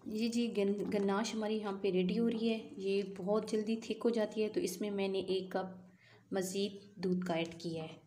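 Home cooking host, woman, mellow voice, patient step-by-step instruction, echoey kitchen. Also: medium pitch, steady tone, moderate pace, a little hiss or hum. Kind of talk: home cooking tutorial voice-over.

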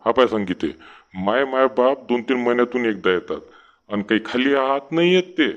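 Man acting henpecked cartoon village husband, complaining, sarcastic, rural Vidarbha Marathi accent, medium pitch, dull sound. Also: expressive, moderate pace, lightly acted. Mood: grumbling, exasperated.

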